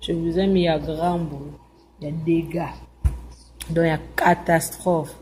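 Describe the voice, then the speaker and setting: Woman, low and flat, unhurried; tender, repetitive voice note. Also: a little hiss or hum. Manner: soft, affectionate, repetitive. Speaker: group member. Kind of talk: casual voice message.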